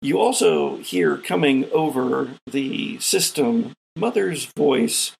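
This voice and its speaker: A man's voice: medium pitch, sing-song, takes it slowly, creaky, slightly rough, low-quality recording. A man narrating a tabletop game as its game master, dramatically setting each scene. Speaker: game master, podcast host.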